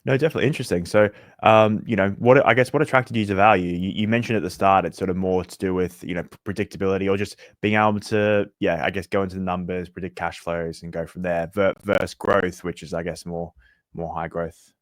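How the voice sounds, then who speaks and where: A man with a low voice asks interview questions at a quick clip. He is conversational and a little tentative, rephrasing as he goes, and the sound is dry. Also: fairly even intonation, no echo.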